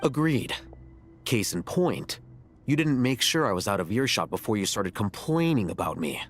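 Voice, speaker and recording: An actor playing a cool, rational scholar in a video game, blunt, deadpan and matter-of-fact. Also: male voice, fairly low pitch, normal speed, soft background music. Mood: dry. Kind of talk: video game dialogue acting.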